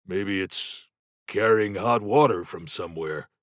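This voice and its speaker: A man's voice: sits low, a little slow, fairly bright tone, no echo, clean studio sound. A man reading an audiobook, voicing a wary, stolid city labourer in a guarded, plain-spoken manner. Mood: curious. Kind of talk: audiobook character dialogue.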